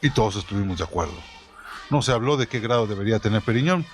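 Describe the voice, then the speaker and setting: A man narrating a story in the first person, in a measured, dramatized storytelling style. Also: lowish voice, lively intonation, a little hiss or hum.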